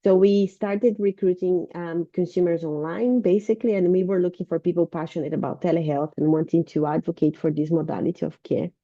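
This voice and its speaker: A woman's voice: lowish voice, steady tone, a little fast, hardly any pauses, no room sound. A woman with a Brazilian accent, sick and sounding a bit off, giving an informal presentation as a researcher over a video call. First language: Portuguese. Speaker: university researcher and lecturer presenting. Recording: dull, muffled video-call audio.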